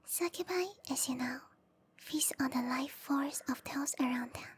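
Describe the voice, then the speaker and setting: High, whispering seductive matchmaker played by a woman. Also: a little slow, slight background noise. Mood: sultry, informative. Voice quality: breathy whisper. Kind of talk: whispered ASMR roleplay.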